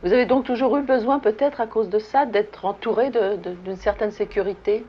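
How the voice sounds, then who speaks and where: A woman interviewer asking composed, probing questions in a measured broadcast style. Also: a little high. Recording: dull archival broadcast audio with faint hiss.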